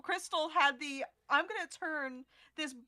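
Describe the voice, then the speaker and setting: Woman, high-pitched, bright, casual chat, jokey, laughter in her talk. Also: measured pace, no room sound. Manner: jokey, laughs while talking. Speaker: podcast crew member.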